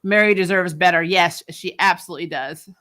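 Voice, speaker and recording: Casting: female recap host, bright forceful voice, candid and sassy, informal fan chat with emphatic opinions. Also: lowish voice, brisk. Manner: candid, sassy, emphatic, colloquial with casual swearing. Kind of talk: casual fan commentary and chat.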